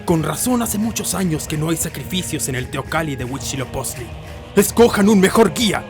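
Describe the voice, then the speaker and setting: Casting: arrogant young tyrant lord, imperious and mocking, historical audio drama over prominent music. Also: male voice, a little high, expressive, fairly quick. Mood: scornful, rousing.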